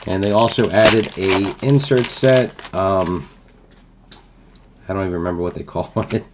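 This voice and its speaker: Hoarse low-voiced man opening card packs. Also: measured pace, background noise. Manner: relaxed, explanatory, with many fillers. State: hoarse from a cold. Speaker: card break host. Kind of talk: casual card-opening commentary. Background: crinkling wrappers and clicks.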